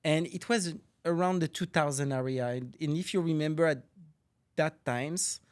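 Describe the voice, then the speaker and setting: A man's voice: on the high side, normal speed, smooth. Middle-aged man with a French accent. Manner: explanatory, loose non-native phrasing with restarts. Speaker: marine ecology professor, podcast guest. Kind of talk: podcast interview.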